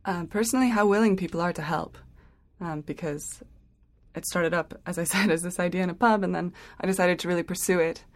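Young woman, lower voice, lots of um, easy chatty guest. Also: fairly even intonation, fairly quick. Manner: chatty, filler-heavy with light laughs. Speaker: interview guest, project founder. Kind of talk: casual interview answers.

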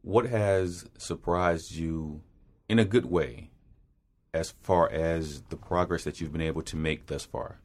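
Deep-voiced male interviewer. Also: a little mellow. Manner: measured, probing questions. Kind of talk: interview questions.

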